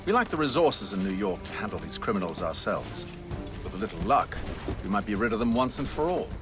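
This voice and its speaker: An actor playing a composed, stern assassin ally in a dramatic video game. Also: male voice, lowish voice, very animated, a little fast. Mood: stern, determined. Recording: music behind the voice.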